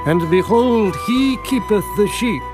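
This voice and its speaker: A man's voice: sits high, animated, a little slow, music in the background. An actor playing a humble, deferential elderly father in dramatized scripture audio.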